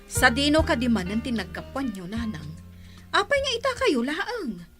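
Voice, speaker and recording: High, animated scolding daughter played by a woman. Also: moderate pace, over music. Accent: Ilocano. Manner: scolding, blunt. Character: exasperated, scolding, protective grown daughter and sister. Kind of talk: radio drama acting.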